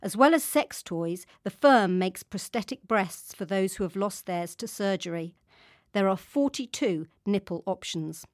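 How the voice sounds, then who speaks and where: A woman narrating a scripted radio news feature in a calm, wry storytelling manner. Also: medium pitch, a little slow, no room sound, studio quality. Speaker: radio correspondent.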